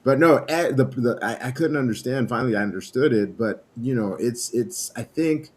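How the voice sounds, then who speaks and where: A man whose first language is French, hosting a casual trading livestream, relaxed and laughing often while greeting viewers. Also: mid-range voice, normal speed, slightly rough. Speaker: livestream host.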